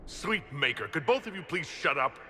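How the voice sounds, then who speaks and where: Bright, expressive adventurer. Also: male voice, on the high side, normal speed, long reverb tail, a little hiss or hum. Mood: exasperated. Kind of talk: fantasy game acting.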